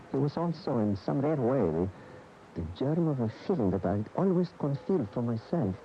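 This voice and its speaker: An actor playing a troubled, world-weary bullfighter in a radio drama, halting and brooding. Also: male voice, mid-range voice, big pitch swings. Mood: reflective, intense. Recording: dull, noisy AM radio tape.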